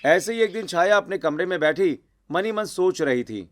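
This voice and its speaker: A man's voice: high-pitched, animated, moderate pace. A man narrating a scripted cartoon story.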